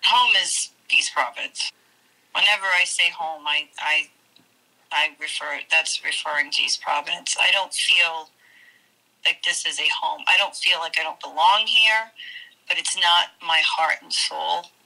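A woman's voice: sits low, a little slow, vocal fry, bright, forceful tone, poor audio. A woman with a Rhode Island accent from New England, answering interview questions firmly and heartfelt.

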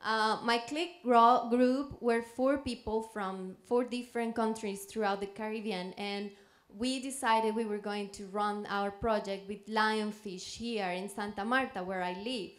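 A woman's voice: mid-range voice, steady tone, measured pace. A young woman from Colombia, speaking earnestly with fillers on a conference panel into a handheld mic. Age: young adult. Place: conference room with audience.

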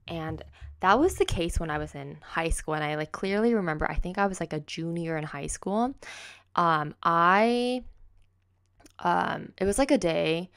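Young girl rambling on her podcast, lots of "like", smooth fry-ish voice, close desk mic at home. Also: lowish voice, a bit bright. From raised in the United States. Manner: chatty, rambling, heavy use of "like", self-correcting. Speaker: podcast host. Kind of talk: casual solo podcast chat. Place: home room with kitchen behind, indoors. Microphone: silver desk condenser mic, close.

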